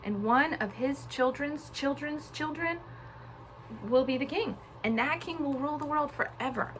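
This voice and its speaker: A woman voicing a calm, loving, decisive God in a gentle storybook reading. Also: sits high, normal speed, slight background noise, pitched above the performer's own voice, low-quality recording. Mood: calm, assured.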